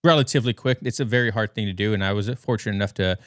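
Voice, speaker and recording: A man's voice: on the low side, racing, a bit bright, no room sound, studio quality. An American man in his thirties chatting casually as a podcast guest, telling stories in an informal, rambling way. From western United States. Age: 30s. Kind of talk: casual conversational storytelling. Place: bedroom at home.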